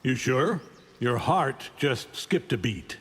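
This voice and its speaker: Middle-aged superhuman tyrant for a fighting game: condescending, menacing, ringing in a big reverberant space. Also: male voice, mid-range voice, expressive, takes it slowly, slightly rough. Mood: cold, domineering. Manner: imperious, threatening, condescending. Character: arrogant, menacing middle-aged alien superhuman conqueror in a cape. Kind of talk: video game fight-intro dialogue. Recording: long hall-like reverb.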